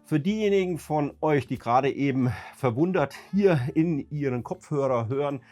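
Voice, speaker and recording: Hoarse, raspy man with a cold. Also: a little high, lively intonation, moderate pace, a little mellow, faint music behind. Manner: ranting, emphatic. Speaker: YouTube host. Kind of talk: casual vlog talk to viewers.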